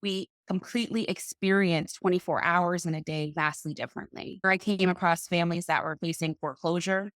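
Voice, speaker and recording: A woman speaks in a lowish voice. She tells her story with confidence and slows down to stress key phrases. The sound is close and dry. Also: steady tone, fairly bright tone, dry recording. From Boston, US. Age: 30s. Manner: confident, deliberate, slows for emphasis. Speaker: podcast guest, financial coach. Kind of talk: interview storytelling.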